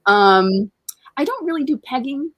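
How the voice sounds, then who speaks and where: A woman's voice: sits high, lively intonation, a little slow, a bit bright, no echo. A woman from Bosnia talking casually and frankly as an interview guest, at ease with swearing. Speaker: interview guest, professional dominatrix and educator. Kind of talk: casual, candid interview chat.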